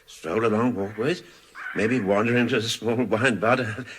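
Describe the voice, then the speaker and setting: A man playing a mournful, theatrical bird in a radio comedy, speaking in a halting, wistful way. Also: fairly low pitch, noisy background, natural voice. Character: mournful, dramatic male bird sworn off walking. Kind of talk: radio comedy drama acting.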